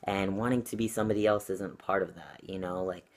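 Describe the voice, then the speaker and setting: A man's voice: sits low, steady tone, brisk. A young man talking earnestly and reflectively to camera at home, with frequent ums and likes. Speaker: channel vlogger. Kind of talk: casual talk to camera. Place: at home with his cat.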